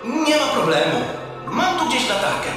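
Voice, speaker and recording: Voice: sits high, sing-song, moderate pace, very echoey, long reverb tail, over music. An actor playing a timid, fussy little furry creature, nervous and earnest, in a children's audio drama.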